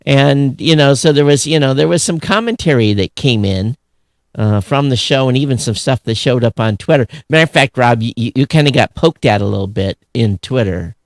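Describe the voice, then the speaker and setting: A man's voice: mid-range voice, fairly quick, no echo, clean studio sound. An American man chatting casually and unscripted as a podcast co-host, in a rambling, conversational way. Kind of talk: casual unscripted show chat.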